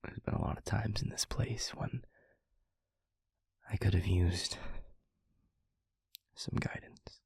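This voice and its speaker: A man acts out a gentle, regretful male demon in an intimate audio roleplay. His low voice drops into a breathy, husky whisper. He speaks very slowly and reflectively, pausing between phrases. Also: fairly even intonation, no echo, full character voice. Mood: wistful, reflective. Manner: slow, halting, reflective delivery. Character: introspective, tender male demon, once arrogant, now gently regretful.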